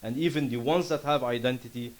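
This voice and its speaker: Earnest Lebanese-accented man pitching. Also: fairly quick. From Syria. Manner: earnest, impassioned. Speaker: startup founder presenting. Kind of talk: conference pitch and Q&A.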